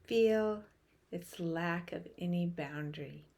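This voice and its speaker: A woman leading a guided meditation in a soft, hushed voice that trails toward a whisper, with long pauses between short phrases. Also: on the low side, gravelly.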